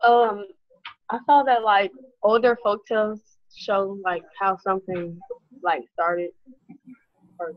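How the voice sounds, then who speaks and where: A teenage girl answering as a student in a casual, tentative and halting way. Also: mid-range voice, fairly even intonation. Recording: muffled virtual class call.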